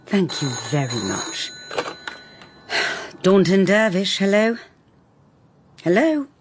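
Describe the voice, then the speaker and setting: An actress playing a forthright, sardonic private detective in a radio drama, with a blunt, dry manner. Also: female voice, mid-range voice, big pitch swings, takes it slowly. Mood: startled, indignant.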